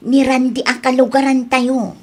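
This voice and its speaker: A woman's voice: high voice, little pitch movement, normal speed. A woman with a Filipino accent playing a demanding, haughty young single woman in a radio comedy-drama. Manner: whiny, haughty, indignant. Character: fussy young single woman, demanding high-maintenance date.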